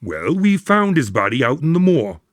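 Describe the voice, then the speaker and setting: An actor playing a troubled, earnest country doctor in a radio drama, explaining things in a formal storytelling manner. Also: male voice, medium pitch, sing-song, normal speed, dry recording, clean studio sound, lightly acted. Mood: troubled, hesitant. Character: earnest, troubled male country doctor recounting strange death. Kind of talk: modern radio drama acting.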